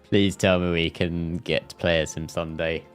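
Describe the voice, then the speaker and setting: A man's voice: low-pitched, little pitch movement, normal speed. A man streaming a video game, commenting and reading along casually. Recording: game music behind the voice.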